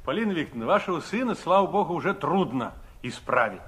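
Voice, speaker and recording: An actor playing a calm, kindly older man, soothing and gently wry, in a 1960s radio play. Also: on the high side, fast talker, breathy edge, heavily acted. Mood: calm, reasoning. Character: calm, kindly older man, reassuring family friend and storyteller.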